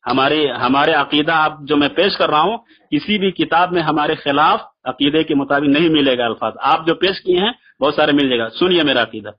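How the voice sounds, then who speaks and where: A man's voice: a little high, brisk, breathy edge. A man debating religion on a phone call, arguing insistently and repeating his points in formal religious vocabulary. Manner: insistent, argumentative, repeats himself. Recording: dull lo-fi phone call recording.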